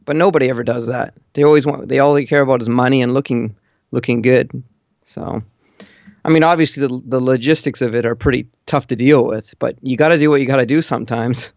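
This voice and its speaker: A man's voice: mid-range voice, fairly even intonation, quick pace, gravelly, darkish tone, no echo. A man calling in over an online voice call, chatting casually with blunt, matter-of-fact opinions. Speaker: caller living in Japan. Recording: muffled lo-fi internet call.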